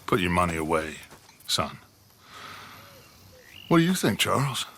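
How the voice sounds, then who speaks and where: Grandiose, forceful male outlaw leader. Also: low-pitched, big pitch swings, measured pace, a little hiss or hum, exaggerated voice. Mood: composed, commanding. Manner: grandiose, commanding, persuasive. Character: charismatic, scheming middle-aged male outlaw gang leader. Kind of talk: cinematic western game acting.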